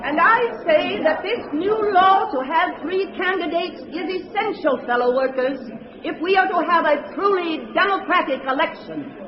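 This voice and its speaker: A woman's voice: very high-pitched, moderate pace, dull audio, crowd noise. A woman playing a strident political agitator, making a pompous, insistent speech in an echoey, noisy old radio recording. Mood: oratorical, defiant. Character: strident, smug female Communist agitator orating at meetings. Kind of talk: 1950s radio drama acting, stump speech.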